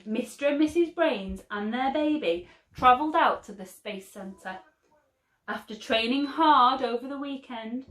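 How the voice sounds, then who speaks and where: A woman teacher reading a picture book aloud for children, slow and expressive. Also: a little high. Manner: slow, expressive, lively storytelling. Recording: roomy echo.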